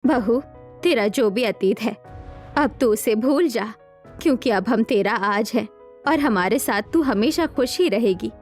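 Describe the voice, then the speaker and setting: A woman's voice: fairly high pitch, animated, measured pace, darkish tone, soft background music, voice pushed down. Woman acting a caring, motherly mother-in-law. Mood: concerned. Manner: soothing, coaxing. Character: caring, motherly middle-aged mother-in-law, gently bossy.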